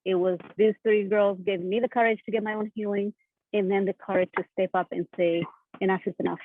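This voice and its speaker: A woman's voice: mid-range voice, fairly even intonation, fairly quick. A woman from East Africa, a therapist and founder, telling her story earnestly as a panel guest. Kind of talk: panel interview answers. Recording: tinny phone-like video call audio.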